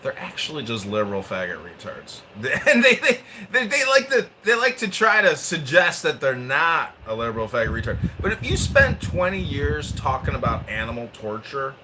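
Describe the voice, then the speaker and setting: Male podcast host, lively pitch, crude and combative delivery, noisy background. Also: moderate pace, few pauses. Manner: crude, combative, swings between mutters and bursts. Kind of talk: provocative casual chat.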